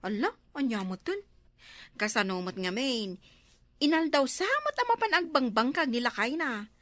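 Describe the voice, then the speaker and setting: A woman's voice: high-pitched, big pitch swings, normal speed, fairly bright tone, no echo. A woman acting a chatty, complaining neighbour in an Ilocano radio drama, with an Ilocano accent. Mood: gossipy. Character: chatty, complaining female neighbour, gossiping over laundry.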